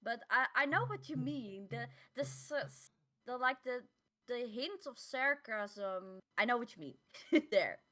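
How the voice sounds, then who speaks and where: Female podcast co-host, voice a bit high, casual halting delivery with repeated words. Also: expressive, sharp tone. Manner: halting, repeats words, non-native English phrasing. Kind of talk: casual chat.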